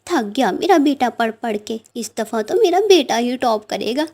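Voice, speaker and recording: A woman voices a doting, boastful mother bird in a children's cartoon. She sounds proud and scolding, in a high-pitched voice. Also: expressive, moderate pace, noisy background. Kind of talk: children's cartoon story acting.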